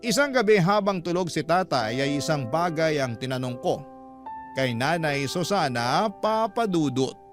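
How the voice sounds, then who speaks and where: Man as earnest storyteller over clear guitar music. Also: on the high side, lively intonation, moderate pace, natural voice. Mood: content. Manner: earnest, reflective. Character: humble married former driver recounting his love life. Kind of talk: first-person Tagalog radio drama narration.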